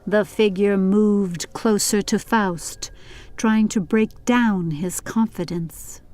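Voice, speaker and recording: A woman narrating a scripted story for an audiobook in a measured, literary reading style. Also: medium pitch, a little mellow.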